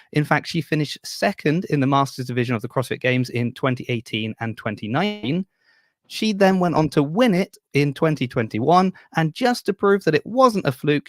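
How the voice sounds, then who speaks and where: A man's voice: a little high, no room sound. Upbeat teasing man, dry mic. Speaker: podcast host. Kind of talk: casual interview chat.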